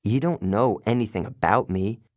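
A man voicing a wary, defensive boy who is new at camp, pitched a little higher. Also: moderate pace, a little mellow, no room sound, studio quality, natural voice.

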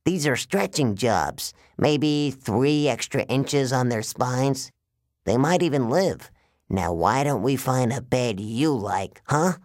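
Performer doing a slow-drawling genial menacing giant salesman. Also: medium pitch, lively intonation, dry recording, studio quality, pitched above the performer's own voice. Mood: cheerfully menacing. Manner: folksy, drawling sales patter. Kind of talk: audiobook character voice.

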